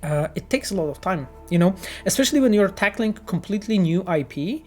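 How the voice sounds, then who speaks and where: A man who is a game developer, answering chat questions on a casual livestream with earnest, halting explanations and many restarts. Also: sits high, animated.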